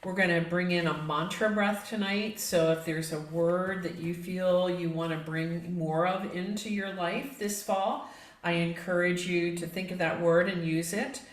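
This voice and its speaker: Echoey, low-pitched woman guiding meditation. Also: measured pace. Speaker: yoga nidra instructor. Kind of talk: guided meditation instruction. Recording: echoey, reverberant room.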